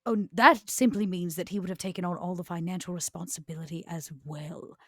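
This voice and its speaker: A woman voicing an elegant, scheming century-old vampire aristocrat in a tabletop roleplay, with measured, formal, deliberate phrasing. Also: lowish voice, darkish tone, slightly rough, no room sound, studio quality.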